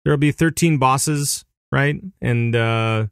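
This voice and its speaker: A man's voice: mid-range voice, takes it slowly, vocal fry, clear, resonant tone, no echo, clean studio sound. A man hosting a podcast, chatting casually in a rambling, joking way. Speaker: podcast host.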